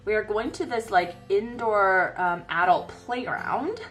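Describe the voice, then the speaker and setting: A woman's voice: mid-range voice, measured pace, a bit bright, soft background music. A woman in her thirties chatting casually about her planner, sniffly from a cold and rambling with lots of fillers. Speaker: planner vlogger. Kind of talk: casual chat while planning. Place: home desk by a bright window.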